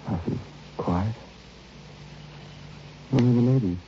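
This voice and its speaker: A man playing a mellow, genteel gentleman writer. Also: medium pitch, slow pace, natural voice. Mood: uneasy. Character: genteel, anxious male writer lodging away from home. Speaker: actor in a radio drama. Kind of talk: old radio drama acting. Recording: muffled old radio recording, faint noise.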